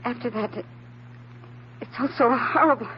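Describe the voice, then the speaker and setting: A woman playing a frightened, naive and anxious woman in an old-time radio drama, speaking haltingly and earnestly. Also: on the high side, natural voice. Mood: shaken, hesitant. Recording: dull, slightly noisy old radio recording.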